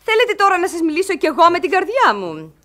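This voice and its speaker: A lively, outspoken young lady. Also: very high-pitched, full character voice. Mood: frank, challenging. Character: confident, outspoken young lady, elder sister. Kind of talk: Greek radio theatre comedy acting.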